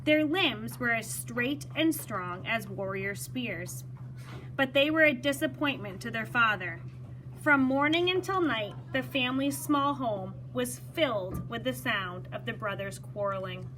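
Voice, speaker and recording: A woman reading a children's story aloud in an expressive, teacherly manner, pausing to explain words. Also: on the high side, a little hiss or hum, rough recording.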